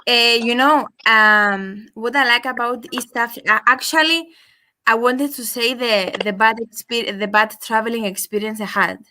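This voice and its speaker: Bright-voiced young Greek-speaking woman. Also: on the high side, fairly even intonation, normal speed. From Cyprus. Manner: hesitant, with many restarts and fillers. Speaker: caller. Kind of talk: casual call-in chat.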